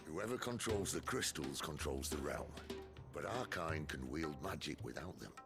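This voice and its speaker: A middle-aged rebel outlaw for a game cutscene. His voice sits extremely low and crackles with gravel, swinging widely as he drawls with wry, theatrical defiance. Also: animated, moderate pace. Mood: bitter, resolute. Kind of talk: video game cutscene acting. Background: music.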